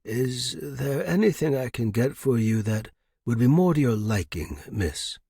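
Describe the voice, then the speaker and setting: A man's voice: mid-range voice, lively intonation, unhurried, vocal fry, dark tone, husky, no room sound, studio quality, heavily acted. Elderly male butler, voiced by a man reading, stiffly courteous and dignified, for a storybook. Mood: deferential. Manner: stiff, deferential, precise. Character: proud, formal, severe elderly male butler.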